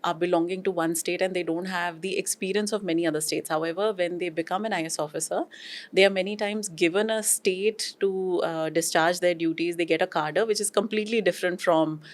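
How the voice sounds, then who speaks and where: A woman's voice: fairly low pitch, fairly even intonation, fairly quick. A woman hosting an interview, asking fluent, detailed questions and slipping between English and Hindi. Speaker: interviewer host.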